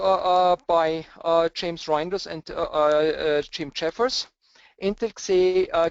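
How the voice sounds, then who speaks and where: A man's voice: sits high, no echo. A man with an Austrian German accent, answering webinar questions as a presenter, hesitant with many filler sounds. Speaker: presenter, cluster architect. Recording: dull lo-fi webinar audio, dry.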